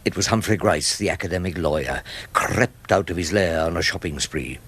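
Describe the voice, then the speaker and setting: A man's voice: deep voice, normal speed, a bit bright, slightly rough, full character voice. A man playing a wry, world-weary older English barrister in a radio drama, speaking and narrating in a dry, droll, literate way. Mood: wry narration. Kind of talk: radio drama dialogue and first-person narration.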